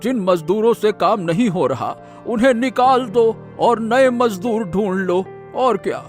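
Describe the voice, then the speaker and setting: A man's voice: high-pitched, expressive, unhurried. A man playing a kindly, trusting, elderly factory owner in a cartoon moral story, with a fatherly manner. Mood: reassuring. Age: older. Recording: music behind the voice.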